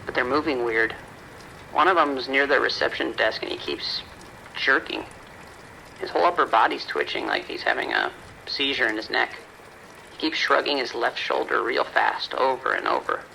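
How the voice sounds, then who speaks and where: A man's voice: mid-range voice, sing-song, normal speed, creaky, bright, forceful tone, slight background noise, full character voice, poor audio. A man playing an uneasy, bewildered patrol deputy in an audio drama, giving terse radio reports. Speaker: police deputy. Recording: radio calls with rain behind.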